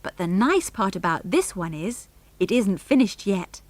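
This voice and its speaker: A woman's voice: medium pitch, very animated, unhurried, no room sound. A woman voicing an enthusiastic, polite young girl in a children's adventure audiobook. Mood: eager.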